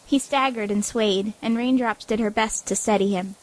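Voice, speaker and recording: A woman's voice: medium pitch, steady tone, moderate pace, rough recording. A woman reading scripted story narration as the narrator of an audio drama.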